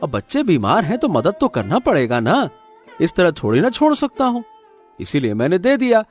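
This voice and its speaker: High-pitched, animated village farmer played by a man. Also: moderate pace, soft background music. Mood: exasperated. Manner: animated, colloquial remonstrating. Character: trusting, stubborn poor village farmer, loyal to family.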